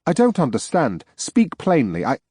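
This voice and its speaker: A man's voice: fairly high pitch, wildly swooping pitch, moderate pace, mellow, no echo, studio quality, pitched above the performer's own voice. A man voicing a bewildered, frightened ghost of a young murdered man, pleading to understand.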